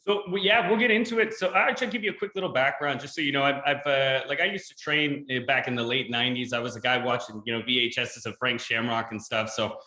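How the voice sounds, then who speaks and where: Bright-voiced man from Ontario in an echoey room. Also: medium pitch, fast talker, few pauses. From Ontario, Canada. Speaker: podcast host. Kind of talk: casual interview chat. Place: games room in a rented house.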